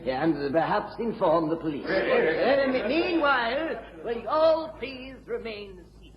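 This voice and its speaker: Stuffy, flustered male auctioneer for period radio drama; higher-pitched, formal, hesitant, echoey room with crowd. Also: expressive, unhurried. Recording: muffled, echoey old radio, crowd behind.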